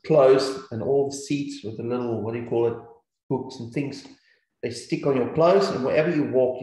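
A man's voice: mid-range voice, a little mellow. A man with a New Zealand accent teaching scripture in an earnest, repetitive way. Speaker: Bible teacher. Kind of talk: scripture teaching over slides. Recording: very echoey room.

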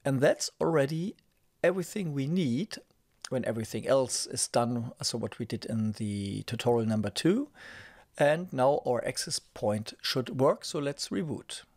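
A middle-aged man giving a casual step-by-step tech tutorial as host, with halting, hesitant phrasing. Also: medium pitch, a little mellow, no room sound, clean studio sound.

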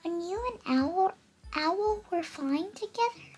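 A young girl of about eight voicing a small female kitten in a storybook, gentle, earnest and eager. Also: very high voice, lively intonation, unhurried, faint music behind, pitched above the performer's own voice. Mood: gentle concern. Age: child, about eight.

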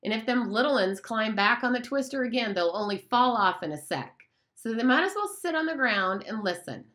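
Lady doing a fussy know-it-all kid in a storybook, prim and preachy, high bright voice. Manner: prim, lecturing. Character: fussy, earnest boy with glasses.

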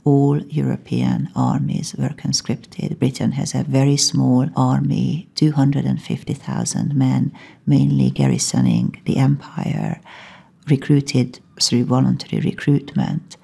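A woman from Hungary, a historian and university lecturer giving a measured, explanatory academic talk at a conference. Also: extremely low pitch, very animated, creaky, warm tone, husky. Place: conference venue.

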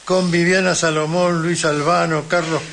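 A man's voice: fairly high pitch, little pitch movement, normal speed, a bit bright. A man, interviewing conversationally as a radio host. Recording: clear background ambient noise.

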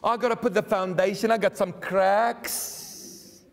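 A man's voice: high voice, fairly even intonation, a little slow. A middle-aged man preaching an emphatic sermon in a church, driving points home with repeated lists and rhetorical questions. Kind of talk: sermon commentary. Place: church sanctuary, indoors. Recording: reverberant church hall.

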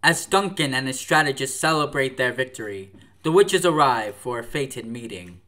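A man's voice: mid-range voice, expressive, normal speed, sharp tone. A man narrating a radio drama from a script, with theatrical storytelling delivery. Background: music.

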